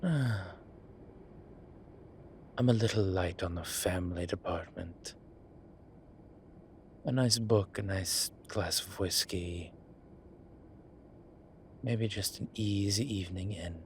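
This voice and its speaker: A composed male demon professor in an audio roleplay, acted by a man, who teases in a measured, genteel way. He talks very slowly and unhurriedly, often sinking into a hush. A faint hiss of noise sits under the voice. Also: low voice. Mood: wistful. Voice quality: soft, often whispered, unhurried.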